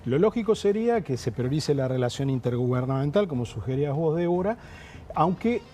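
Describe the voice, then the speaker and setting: A man with an Argentine Spanish accent gives political analysis as a guest in a TV interview, explaining things in a measured, formal way. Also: on the high side, expressive, warm tone, faint music behind.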